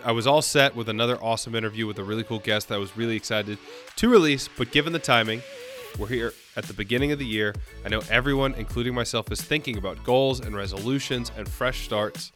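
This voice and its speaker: A man's voice: medium pitch, bright, forceful tone. A man hosting a solo podcast, talking in an upbeat, earnest and encouraging way while a little nasal from a cold. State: has a cold, a bit nasal. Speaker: podcast host. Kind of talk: solo motivational podcast talk.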